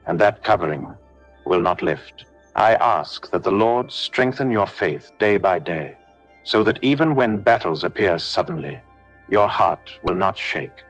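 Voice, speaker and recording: A man leading a scripted devotional prayer, giving solemn, measured blessings and declarations in short parallel phrases. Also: low-pitched, lo-fi sound. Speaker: prayer leader. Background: background music.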